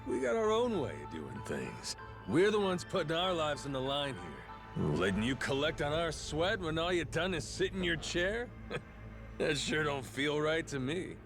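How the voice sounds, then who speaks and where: Game pirate captain, male: irreverent street tough, slangy and taunting, lightish pitch, animated swings. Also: a little slow. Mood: defiant. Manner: mocking, slangy, drawling. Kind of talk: dramatic video game voice acting. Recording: game music behind.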